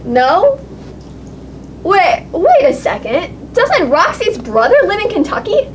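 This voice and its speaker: An impatient little girl in a puppet show, acted by a woman, with a very high, swooping voice. She pleads dramatically and bosses people about with cheek. There is clear background noise on the recording. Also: huge pitch swings, takes it slowly, exaggerated voice. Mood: cheeky, eager. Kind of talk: children's puppet show acting.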